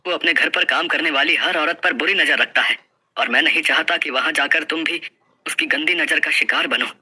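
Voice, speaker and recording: A man's voice: on the high side, moderate pace, pushed and bright, breathy edge, heavily acted. A man playing a poor, proud village farmer, pleading and emotional in a dramatized folk story. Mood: anguished, firm.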